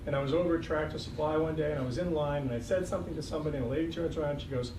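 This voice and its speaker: A man's voice: on the high side, steady tone, very fast. A man in his sixties with a slight Boston accent gives a reflective, lightly joking farewell speech as a school superintendent, with frequent ums. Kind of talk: farewell speech at a board meeting. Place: school board meeting room. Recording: echoey, distant, noisy room recording.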